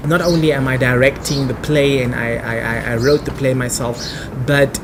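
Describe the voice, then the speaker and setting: A man's voice: fairly even intonation, normal speed. A man in his early twenties with a Namibian accent, a native Afrikaans speaker, giving earnest, emphatic answers as an interview guest. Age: early 20s. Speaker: interview guest, actor and theatre director. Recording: noisy ambient background, traffic-like rumble.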